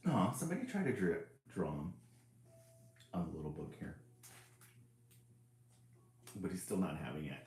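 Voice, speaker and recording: A man's voice: low-pitched, big pitch swings, normal speed, long pauses, a little mellow, roomy sound. A man hosting a casual read-aloud stream, chuckling and greeting viewers by name as he reads a children's book.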